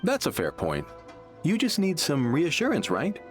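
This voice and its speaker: A man's voice: a little high, huge pitch swings, normal speed, faint music behind. A man voicing a cynical, gossipy, opinionated townsman in an anime video game. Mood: knowing. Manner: knowing, opinionated. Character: cynical, gossipy male townsman, smugly persuaded.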